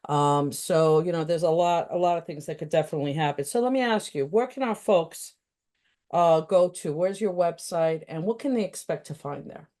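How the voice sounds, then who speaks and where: A woman with a New York accent hosting an interview, talking casually with many fillers and pauses. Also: on the low side, mellow. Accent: New York City. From New York City. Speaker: interview host.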